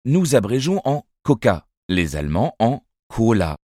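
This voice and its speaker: Slow, expressive male audiobook narrator. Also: medium pitch, no room sound, studio quality. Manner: measured, expressive storytelling. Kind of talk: scripted narration of a children's novel.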